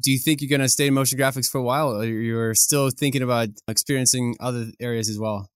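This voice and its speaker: A man's voice: mid-range voice, fairly even intonation, fast talker, clear, resonant tone, no room sound, studio quality. A man from Ukraine hosting a podcast, introducing his guest and asking interview questions in an upbeat way. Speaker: podcast host.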